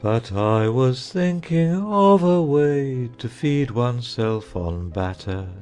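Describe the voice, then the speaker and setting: A gentle, dreamy knight played by a man recites nonsense verse in a storybook drama. He goes extremely slowly at a middling pitch, with music underneath. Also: lively intonation, smooth. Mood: absent-minded. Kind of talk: slow theatrical verse recital.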